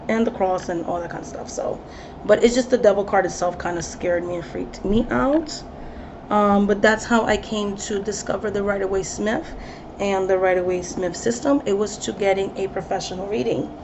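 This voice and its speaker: A woman's voice: medium pitch, noisy background. A woman tarot reader in her thirties talking casually to camera, chatty, with many ums and restarts. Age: 30s. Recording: slightly dull recording with faint ambience.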